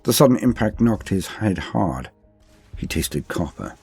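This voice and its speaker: A very low-pitched man narrates a story with grave, theatrical weight. His voice is husky and rough-edged, his phrasing deliberate, and faint music plays underneath. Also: big pitch swings, a little mellow, no echo. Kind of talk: dramatic audiobook-style story reading.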